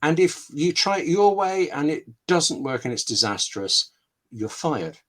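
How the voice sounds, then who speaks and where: A man's voice: fairly high pitch, big pitch swings, measured pace. A man answering questions as a scriptwriter guest in a conversational way, telling anecdotes and thinking aloud with asides and questions to himself. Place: home room with books, on a video call.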